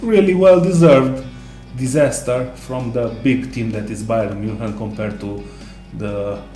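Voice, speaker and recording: A man co-hosting a casual sports discussion, speaking haltingly with non-native English phrasing. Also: medium pitch, fairly even intonation, dark tone. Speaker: co-host. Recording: roomy echo, music underneath.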